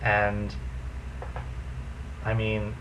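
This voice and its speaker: A young man with a deep voice hosting a casual vlog, talking animatedly and swinging his pitch up and down for emphasis. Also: completely flat delivery, very slow, background noise. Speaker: vlogger host.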